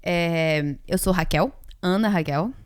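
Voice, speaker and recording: A Brazilian woman of about thirty chatting casually as a podcast co-host, informal and quick to joke about herself. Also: fairly low pitch, smooth, no room sound. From Ceará, Brazil.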